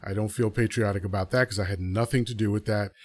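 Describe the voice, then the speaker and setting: Dry, low-voiced man hosting a podcast. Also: steady tone, fast talker, darkish tone, dry recording. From Dominican Republic. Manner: rambling, self-correcting, earnest. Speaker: podcast host. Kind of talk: casual solo commentary to a live audience.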